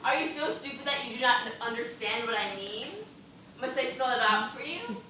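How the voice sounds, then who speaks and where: A woman playing a proud, desperate, delirious female dancer on stage, speaking slowly and imploringly with melodrama. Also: on the high side, lightly acted. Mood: seductive pleading. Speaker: stage actor. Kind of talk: theatrical stage acting. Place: indoor theatre stage, recorded from afar. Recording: echoey stage, distant microphone, noisy.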